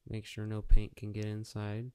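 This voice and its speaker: A man's voice: lowish voice, completely flat delivery, moderate pace, dark tone, smooth. A man narrating a casual step-by-step how-to tutorial in a plain, practical, matter-of-fact way. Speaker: auto painter giving a how-to tutorial.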